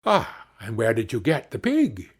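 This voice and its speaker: A man's voice: medium pitch, wildly swooping pitch, a little slow, slightly rough, exaggerated voice. A man voicing a jolly, crafty travelling scissor grinder in a fairy tale, with hearty, coaxing patter. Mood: curious. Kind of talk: fairy-tale storybook character reading.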